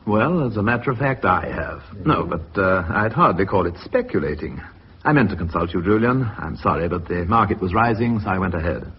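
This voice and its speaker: A British actor playing a genial, formal company chairman in a radio drama, with measured boardroom speech. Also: sits low, animated. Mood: pleased, frank. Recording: dull, noisy old radio recording.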